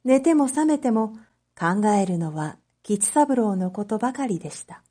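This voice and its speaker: Slow, mellow woman reading a story. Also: mid-range voice, expressive. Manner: careful, clearly phrased reading. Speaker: teacher narrating read-aloud material. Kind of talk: scripted story narration for language learners.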